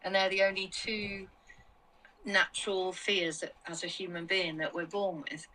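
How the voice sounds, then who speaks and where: Bright-voiced middle-aged woman, a bit distant. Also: fairly low pitch, flat delivery, a little slow, a little hiss or hum. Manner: warm-hearted, reflective, repeats "you know" and "I think". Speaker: guest, founder of a children's mental health organisation. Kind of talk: interview answers.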